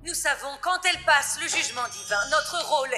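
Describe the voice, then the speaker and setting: Animated dub role: solemn supernatural woman, bright cutting tone, dramatic, music underneath. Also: high-pitched, brisk. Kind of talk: animated series dub acting. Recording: music behind the dialogue.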